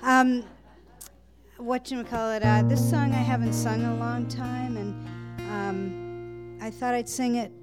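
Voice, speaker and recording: A woman's voice: fairly high pitch, fairly even intonation, unhurried, long pauses. A woman at an open mic chatting in a rambling, self-deprecating way on a stage mic, then singing her own song while playing guitar. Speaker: open mic singer-songwriter. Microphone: stage mic through a PA. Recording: loud guitar under the voice.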